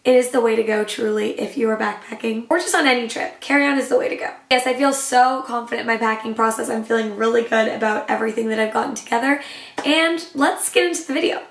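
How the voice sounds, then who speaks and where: Young woman travel vlogger, slightly hoarse, enthusiastic and chatty, echoey room. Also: fairly high pitch, quick pace. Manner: enthusiastic, chatty, showing items. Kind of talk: casual upbeat vlog talk to camera.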